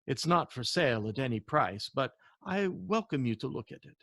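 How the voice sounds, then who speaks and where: A man voicing a courteous, bookish antique dealer in a storybook, with a formal, measured and eloquent manner. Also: mid-range voice, expressive, gravelly, fairly bright tone, no echo, studio quality. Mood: gracious, reflective.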